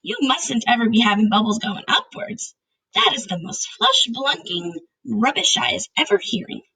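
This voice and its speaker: A gravelly, husky storybook giant, acted out by a woman, excitable and easily indignant. He talks in emphatic, garbled grammar peppered with invented words. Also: on the high side, moderate pace, full character voice, poor audio.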